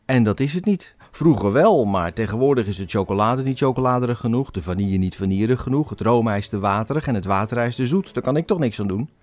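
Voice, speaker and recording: A man's voice: medium pitch, expressive, quick pace, hardly any pauses, a little mellow, lightly acted. A man voicing an honest, naive young male ice-cream seller who speaks earnestly and guilelessly. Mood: plaintive.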